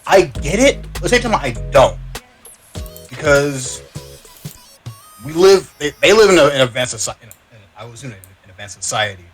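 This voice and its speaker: A man on a podcast panel chatting casually, with slangy, halting phrasing and some swearing. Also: a little high, very animated, faint music behind. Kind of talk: casual chat.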